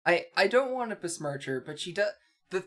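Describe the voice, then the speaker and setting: Cohost riffing on a comic, wry n hesitant, picky, middle pitch, very casual. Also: sing-song, a little fast, pushed and bright. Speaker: co-host. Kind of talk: casual chat, comic commentary.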